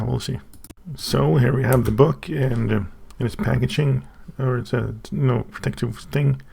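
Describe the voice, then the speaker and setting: Man, Swedish native speaker, very gravelly voice, halting, casual unboxing talk. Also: medium pitch, a little mellow. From Sweden. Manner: halting, thinking aloud with many restarts. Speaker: channel host.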